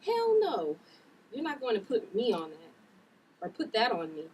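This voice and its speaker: Woman playing caring exasperated sister, family drama read, lively pitch swings, distant from the mic. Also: normal speed. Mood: candid, slightly weary. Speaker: actor at a table read. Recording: noisy room, off the mic.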